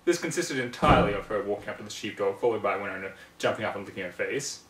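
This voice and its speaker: A man's voice: fairly low pitch, fast, fairly bright tone. A man reading fan fiction aloud at home in an amateur storybook narration style. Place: room at home. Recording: roomy, distant mic, home recording.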